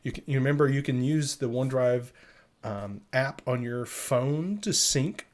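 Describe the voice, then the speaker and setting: A man co-hosting a live show, explaining software updates in a casual, conversational way straight to the listeners. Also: medium pitch, measured pace. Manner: conversational, addresses listeners directly. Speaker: co-host of a tech update show.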